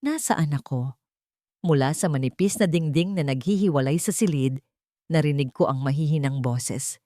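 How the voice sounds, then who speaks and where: A woman with a low voice narrates a scripted drama in the first person. Her telling is solemn and full of feeling. The sound is close and dry, with no room on it. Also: normal speed, dark tone, no room sound. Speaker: audio story narrator.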